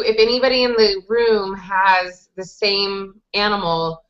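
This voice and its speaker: A woman's voice: mid-range voice, fairly bright tone. A woman in her thirties runs an online group lesson, walking learners through a word game in a friendly, patient way. Her voice sits mid-range and comes through a webcam in a small room, muffled by lo-fi call audio. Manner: friendly, patient, explains rules step by step. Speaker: English conversation teacher. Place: small indoor room with whiteboard. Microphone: webcam video call. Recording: dull lo-fi video call audio.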